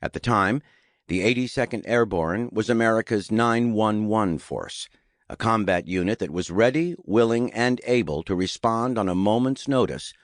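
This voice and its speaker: Male nonfiction narrator, low register, measured and deliberate, recorded close and dry. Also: no room sound. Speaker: audiobook narrator. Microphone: close studio microphone.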